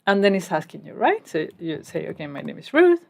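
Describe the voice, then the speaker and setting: A woman's voice: fairly low pitch, sing-song, a little fast, creaky, a little mellow. Woman teaching code over keyboard clicks. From Spain. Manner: explains step by step while typing, casual asides. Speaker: programming instructor. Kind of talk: screencast coding tutorial.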